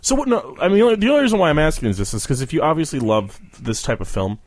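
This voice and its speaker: A man's voice: fairly high pitch, wildly swooping pitch, fast talker, dry recording. An American man hosting a casual podcast interview, with a wry, joking, teasing way of asking questions.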